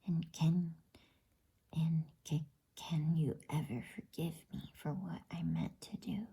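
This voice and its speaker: A woman voicing a timid, guilt-ridden male faun in an anxious, halting whisper for an ASMR bedtime story. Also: low voice, dark tone, heavily acted. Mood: hushed urgency. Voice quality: whispered, breathy.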